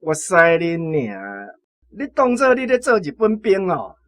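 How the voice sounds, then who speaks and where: An actor with a Taiwanese accent playing a gruff, blunt army battalion commander in a radio drama. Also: male voice, high voice, very animated, a little slow, a bit bright, heavily acted. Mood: angry.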